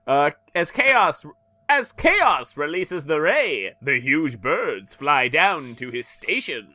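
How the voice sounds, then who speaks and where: A man co-hosting a comedy podcast, reading a comic aloud in a slow, theatrical way between banter. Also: a little high, big pitch swings, sharp tone, no room sound.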